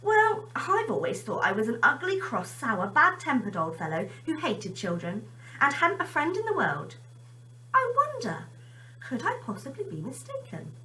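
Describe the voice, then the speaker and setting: A woman's voice: high-pitched, huge pitch swings, normal speed, bright, forceful tone, pitched above the performer's own voice, full character voice, low-quality recording. A woman reading a storybook as a lonely, cross old man musing to himself. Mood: musing, hopeful.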